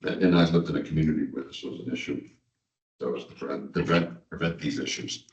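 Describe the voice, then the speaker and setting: A man with an extremely deep voice chairs the meeting in a relaxed tone and drops in small asides. He is heard from a distance in an echoey room. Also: a little fast, muffled recording.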